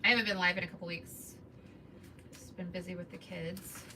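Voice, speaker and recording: A woman in her thirties with a soft, relaxing Midwestern voice, chatting casually with viewers during a crafting livestream. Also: on the low side, fairly even intonation, a little fast. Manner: chatty, greets viewers while working. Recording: distant mic with background noise.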